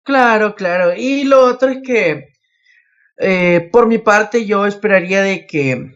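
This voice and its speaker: A man's voice: sits high, takes it slowly, a bit bright. A young man co-hosting a casual podcast chat about anime, recorded with dull, lo-fi sound. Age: young adult. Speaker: podcast co-host.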